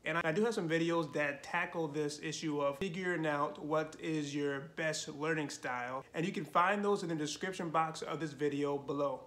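A man in his thirties hosting a language-learning channel, giving casual tips to camera from his living room couch, animated and full of "you know" fillers. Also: a little high, moderate pace, few pauses. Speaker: Spanish learning channel host.

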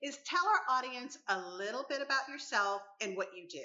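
A woman in her fifties from the Caribbean, hosting and interviewing on a talk show in an emphatic, expressive way. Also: fairly high pitch, a little fast, echoey room, dull audio. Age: 50s.

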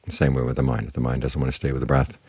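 The very low, husky man you would hear at a morning meditation sitting, calmly guiding everyone in plain words, close and dry in the ear. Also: rapid-fire, no echo. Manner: calm, instructive, plain-spoken. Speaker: Buddhist monk, meditation teacher. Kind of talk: short spoken Dhamma talk guiding meditation.

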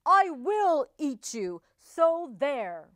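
A woman's voice: high-pitched, very animated, slow pace, a bit bright, dry recording, voice pushed up, full character voice. A woman voicing an earnest, sensitive but hot-tempered male wolf in a storybook, theatrical and emphatic. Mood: exasperated.

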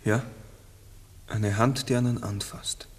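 An actor playing an uneasy, brooding farmer and father who speaks haltingly in a 1960s radio play. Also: on the low side, steady tone, husky. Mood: haunted, resolute. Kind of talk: 1960s German-language radio play acting. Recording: noisy old radio recording, tableware clinking.

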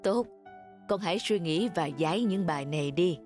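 Low-voiced calm, firm father played by a woman. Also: normal speed, close to an everyday voice. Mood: encouraging. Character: calm, firm middle-aged father and school principal. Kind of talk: animated cartoon voice acting. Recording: clear music behind the voice.

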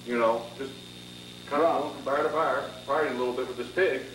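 A man's voice: medium pitch, fairly even intonation, brisk. A man on a panel telling a rambling, easygoing story in casual chat. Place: indoor panel room. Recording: echoey room from far off with background noise.

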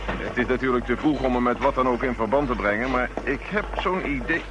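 An actor playing a calm, methodical police inspector in a radio drama, measured and matter-of-fact. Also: male voice, medium pitch, noisy background, natural voice. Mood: thoughtful.

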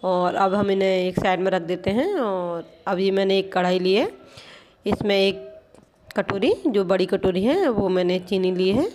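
A woman's voice: mid-range voice, moderate pace, a little mellow, slight background noise. Woman patiently explaining a home recipe. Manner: patient, explanatory, keeps pointing things out. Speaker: home cooking channel host. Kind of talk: step-by-step cooking instruction. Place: home kitchen at a gas stove.

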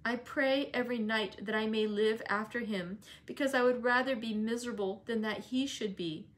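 A woman reading the part of a spirited teenage girl, speaking high and emphatically with rising pleas. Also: normal speed, natural voice. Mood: earnest.

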